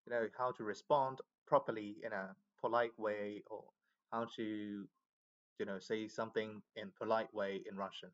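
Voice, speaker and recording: A man's voice: lowish voice, level tone, normal speed, highs cut off. A man from Myanmar, chatting casually in English with many fillers. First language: Burmese. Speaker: English learner. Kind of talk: casual video chat.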